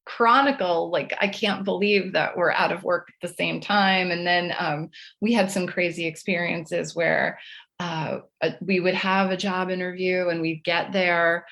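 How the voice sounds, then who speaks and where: A middle-aged woman with a slightly low voice talks as a guest author in an interview. She is chatty and anecdotal, with a self-deprecating way of telling her own stories. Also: steady tone, a little slow, vocal fry, a bit bright. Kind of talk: conversational interview storytelling.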